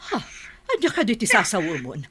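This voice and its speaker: A woman's voice: medium pitch, huge pitch swings, a little fast, a bit bright, breathy edge, heavily acted. A woman playing an indignant, easily shocked middle-aged mother in a radio drama.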